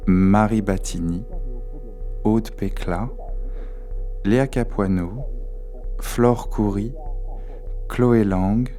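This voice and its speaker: A man's voice: on the low side, mellow. A man reading out scripted credits as the announcer of an audio fiction.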